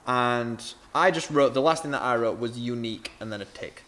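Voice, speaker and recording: A man's voice: medium pitch, moderate pace, pushed and bright, slight background noise. A young man hosting a music review, talking casually with many fillers and frank opinions. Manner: rambling, filler-heavy, frank.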